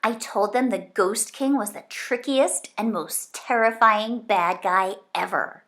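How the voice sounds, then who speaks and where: A woman reading a children's book aloud in an expressive storytelling style. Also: medium pitch, unhurried. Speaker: storybook reader.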